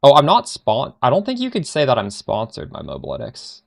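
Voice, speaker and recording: A man's voice: mid-range voice, wildly swooping pitch, fairly quick, creaky. A Canadian man with a Canadian accent, streaming a game live and chatting casually with viewers, often trailing off mid-sentence. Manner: chatty, answers chat questions while playing, trails off mid-sentence.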